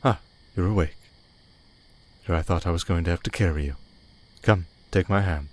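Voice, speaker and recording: A man playing a scheming, refined vampire archduke in an ASMR audio drama, speaking in a measured, formal, condescending way. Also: deep voice, slight background noise, natural voice. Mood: pleased, teasing. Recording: music bed under the voice.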